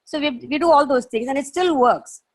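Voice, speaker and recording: A woman's voice: sits high, fairly quick. A woman storyteller whose first language is Tamil, speaking earnestly and enthusiastically on a video call. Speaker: storyteller, participant. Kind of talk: casual remarks on a video call.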